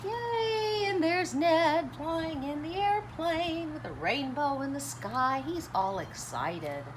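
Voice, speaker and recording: A woman reading a children's picture book aloud like a teacher, dramatic and expressive, chatting and asking questions of young listeners. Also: high voice, slow pace. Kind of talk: animated storytime read-aloud with commentary and questions. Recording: clearly noisy background.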